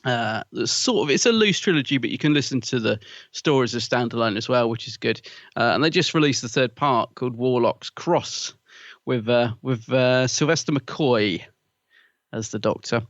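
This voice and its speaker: A man's voice: mid-range voice, normal speed, fairly bright tone, no echo, muffled recording. A man co-hosting a podcast in casual chat, chatty and rambling with fillers, laughing often. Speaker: podcast co-host.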